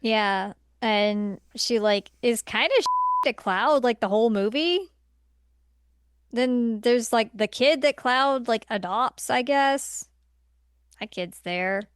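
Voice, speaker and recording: Clear, higher-pitched woman in casual discussion. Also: slow pace, fairly bright tone, no echo, clean studio sound. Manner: exasperated, blunt, colloquial. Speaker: podcast co-host. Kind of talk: casual opinionated film discussion.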